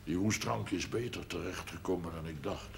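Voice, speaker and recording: An actor playing a shrewd, self-important wealthy farmer in a television drama, speaking in a pompous, formal, wordy way. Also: male voice, deep voice, moderate pace, gravelly. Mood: reproachful, sardonic.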